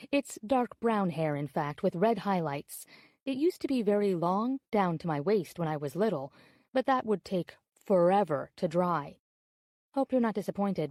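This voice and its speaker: Mellow, close-up actress as coy woman letter writer. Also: medium pitch, dry recording, lightly acted. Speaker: audiobook narrator. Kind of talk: scripted audiobook narration.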